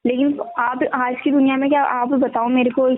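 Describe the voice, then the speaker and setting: A woman explaining a sales pitch on a phone call, often asking for agreement. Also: flat delivery, moderate pace. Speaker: network marketing recruiter. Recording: tinny phone call recording.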